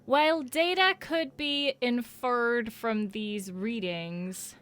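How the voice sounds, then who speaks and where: A woman's voice: fairly high pitch, takes it slowly, fairly bright tone, clear, resonant tone. A woman streamer giving casual, informal reaction commentary with a wry, joking manner. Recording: noisy, film audio behind voice. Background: sound of the horror video being watched.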